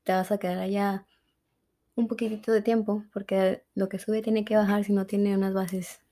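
A woman from Mexico with a Mexican accent, a guest in a conversational interview, telling her story in a reflective and animated way. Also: mid-range voice, normal speed. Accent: Mexican Spanish.

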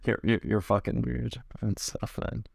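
A man playing a crude, defensive young frat bro with halting, sweary slang in an improvised comedy sketch. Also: lowish voice, level tone, vocal fry, husky, no room sound, studio quality, heavily acted.

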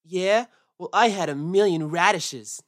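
A woman's voice: on the low side, a little slow, voice pushed down, lightly acted. A woman voicing an anxious, protesting little boy rabbit in a children's storybook. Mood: boastful.

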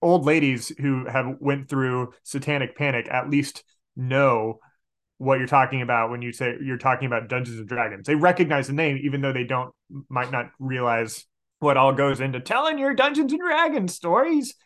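A man's voice: mid-range voice, normal speed, fairly bright tone. A man talking casually as an interview guest on a podcast, enthusiastic, often repeating words as he finds his way. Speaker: podcast guest, tabletop game master and elementary teacher.

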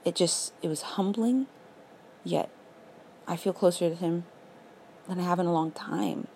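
A raspy young woman hosting a casual faith podcast, earnest and encouraging, full of "like" and "literally". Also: fairly low pitch, unhurried, a little mellow, a little hiss or hum. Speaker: podcast host.

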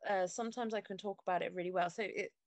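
A woman speaking as an interview guest, telling her own story in a halting, heartfelt way with frequent restarts. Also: medium pitch, steady tone, no room sound. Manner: halting, stops and restarts often, open and heartfelt. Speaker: interview guest, fitness studio owner. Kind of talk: candid personal interview.

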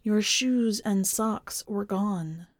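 A plain-spoken husband from a literary story, read by a woman, affectionate and a little puzzled. The delivery is unhurried, low-key and matter-of-fact, with a dry close sound. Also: dry recording. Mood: wary, questioning.

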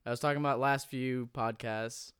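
Stammering podcast guy, smooth voice. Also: mid-range voice, steady tone, a bit bright, no room sound, clean studio sound. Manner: halting, stammers with restarts and giggles. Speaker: podcast co-host. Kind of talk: casual chat among friends.

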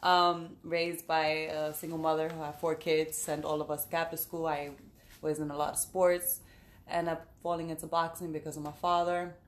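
A woman in her late twenties from the Bronx, a boxer talking casually as a guest, relaxed with many fillers and laughs. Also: low voice, normal speed. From the Bronx, New York. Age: late 20s. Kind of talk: casual podcast interview.

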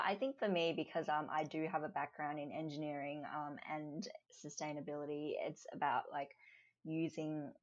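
A woman's voice: low voice, lo-fi sound. A woman interviewed as a guest, talking casually and hesitantly with many ums. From Australia.

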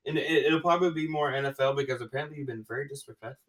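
An excitable man hosts a casual sports chat, gushing over his picks and tripping into restarts as he talks. His voice sits in a middle range. He sounds set back a little from the microphone. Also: medium pitch, rapid-fire, distant mic, poor audio. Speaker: podcast host.

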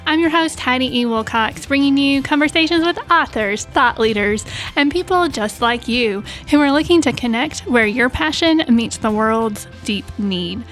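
An American woman with a fairly high voice hosts an interview podcast. She delivers her lines as a presenter introducing and questioning a guest. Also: animated, moderate pace, a bit bright, faint music behind. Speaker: podcast host. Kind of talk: scripted introduction and interview hosting.

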